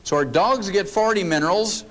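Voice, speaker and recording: An older man with a fairly high voice lectures a roomful of people in a folksy, storytelling way. He leans on jokes and homespun asides as he makes his points. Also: normal speed, a bit bright, low-quality recording. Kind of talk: folksy seminar talk. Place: meeting room before an audience.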